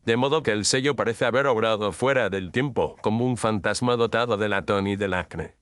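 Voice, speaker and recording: A man performs an incisive Victorian detective in an audio mystery drama. The voice sits low and is recorded very dry, with a sententious, ironic way of putting questions. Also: fairly quick, a bit bright, clean tone, no room sound, lightly acted. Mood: dryly ironic. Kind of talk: scripted audio drama dialogue.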